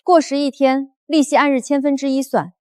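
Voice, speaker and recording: A woman's voice: high voice, fairly even intonation, fairly quick, dry recording, studio quality, lightly acted. An actress playing a commanding, protective wealthy woman executive, cool and authoritative in a drama. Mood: stern, cold. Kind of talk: short-drama acting.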